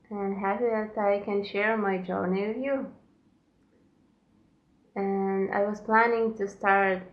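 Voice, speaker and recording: A woman from Lithuania with a Lithuanian accent, hosting a casual knitting podcast from her home in careful, unhurried English. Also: mid-range voice, echoey room, dull audio. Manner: unhurried, careful non-native English with pauses. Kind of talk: casual solo podcast chat.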